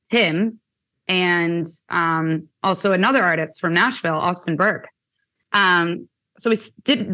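Casting: young woman from Canada, musician guest, lower voice, rambling casual chat. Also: pushed and bright. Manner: chatty, many fillers like "like" and "um".

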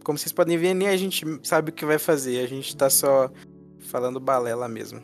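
A man's voice: mid-range voice, fairly even intonation, brisk, soft background music. A man in his twenties hosting a casual podcast chat, rambling and joking. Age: 20s. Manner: rambling, joking, laughs easily. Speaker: podcast host.

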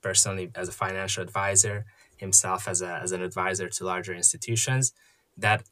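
Man, fairly low voice, measured explainer, podcast guest. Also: fairly bright tone. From Hungary. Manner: measured, explanatory, frequent uh fillers. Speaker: guest, investment app founder. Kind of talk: podcast interview.

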